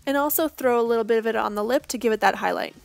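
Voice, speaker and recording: A young woman, hoarse from losing her voice, giving a casual step-by-step makeup tutorial voice-over. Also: a little high, rapid-fire, soft background music. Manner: casual, chatty, reassuring.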